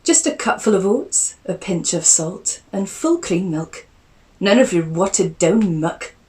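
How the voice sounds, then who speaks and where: A woman's voice: fairly low pitch, expressive, unhurried, warm tone. Woman doing a blunt Scottish cook. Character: female cook with broad Scottish accent, blunt.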